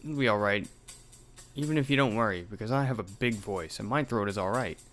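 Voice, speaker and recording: A man's voice: fairly low pitch, fairly quick, fairly bright tone, faint music behind. A young man hosting a let's play, reading out a horror game's dialogue with some drama and swearing in asides. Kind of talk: reading game text aloud with commentary.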